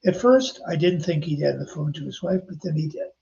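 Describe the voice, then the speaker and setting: Gravelly older man telling anecdotes. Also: fairly high pitch, expressive, fairly quick, dark tone, dull audio, slight background noise. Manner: anecdotal, chatty, addresses listeners directly. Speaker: podcast host and author. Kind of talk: casual storytelling narration.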